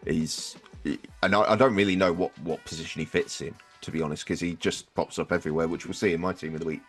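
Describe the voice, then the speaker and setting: Deep-voiced man chatting on a sports podcast. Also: steady tone, fast talker, a bit bright, faint music behind. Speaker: podcast host. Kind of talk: casual sports podcast discussion.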